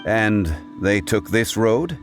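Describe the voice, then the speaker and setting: Low, composed scholarly gentleman. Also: expressive, over music. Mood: inquiring. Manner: measured, formal. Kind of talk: video game voice acting.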